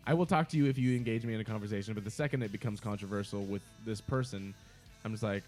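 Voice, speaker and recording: A man's voice: on the low side, fast talker, darkish tone, soft background music. A man co-hosting a podcast in casual chat, swearing freely and mimicking the people he argues with. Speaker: podcast co-host.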